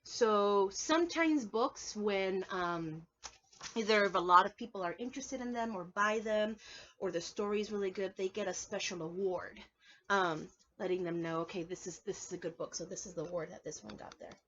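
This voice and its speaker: A woman preschool teacher reading a storybook aloud to young children in an animated, instructive way, often putting questions to her listeners. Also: medium pitch, normal speed, muffled recording, slight background noise.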